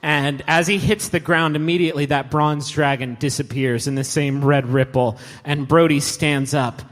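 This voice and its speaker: A man's voice: on the high side, fairly even intonation, normal speed, fairly bright tone. A man narrating a live tabletop game as game master, with dramatic, colloquial storytelling. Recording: echoey hall, live show with music.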